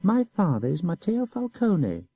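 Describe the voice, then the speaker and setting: A man's voice: high voice, big pitch swings, moderate pace, dark tone, slightly rough, no room sound, voice pushed up, heavily acted. A man voicing a sly young boy who mocks the soldiers with a pert, sneering manner. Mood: sly mocking. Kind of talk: audiobook character voice.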